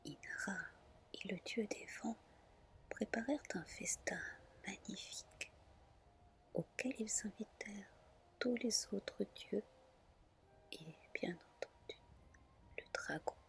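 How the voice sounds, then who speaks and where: A woman reading a tale aloud in a soft, soothing ASMR style, with deliberate phrasing. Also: medium pitch. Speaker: storyteller, ASMR reader.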